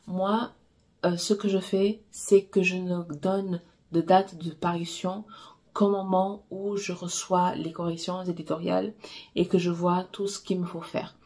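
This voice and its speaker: A woman's voice: fairly low pitch, flat delivery, a little slow, a little mellow. A woman whose first language is Portuguese, a romance author giving frank, direct writing advice in a casual way with frequent hesitations. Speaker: romance author coaching writers.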